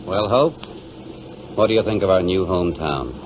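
An actor playing a courteous young Methodist minister in an old radio drama, formal, genial and dryly humorous. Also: male voice, sits low, lively intonation, moderate pace. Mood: wry. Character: wry, courteous young male Methodist minister, quietly determined. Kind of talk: 1940s radio drama acting. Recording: dull, noisy old radio recording.

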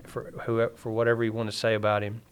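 A man's voice: lowish voice, monotone, smooth. A man with a Southern American accent, a missionary pastor earnestly telling his church story in an interview. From Southern United States. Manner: earnest, quick-talking, frequent restarts.

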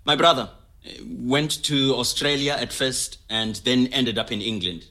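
A man's voice: mid-range voice, measured pace, sharp tone. An actor playing an easygoing, wry man recalling his younger days in a conversational storytelling style. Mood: sober. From Zimbabwe. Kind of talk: scripted oral-history storytelling in conversational manner.

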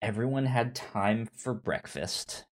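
A man co-hosting a podcast, chatting casually about films in a halting way, often repeating words and restarting. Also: lowish voice, lively intonation. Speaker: podcast co-host. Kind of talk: casual film review chat.

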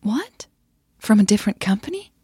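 Narrator lady as a breathy feisty girl in love, kinda anxious, pitched up from her narration. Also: sing-song, normal speed, warm tone, no room sound, clean studio sound. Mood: anxious, confused.

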